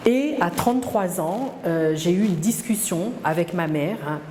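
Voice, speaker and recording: A middle-aged woman, speaking hesitantly and reflectively as an interviewee, with many pauses and restarts. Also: lowish voice, lively intonation, dark tone, slight background noise. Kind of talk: personal testimony interview. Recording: echoey room, long reverb, faint ambience.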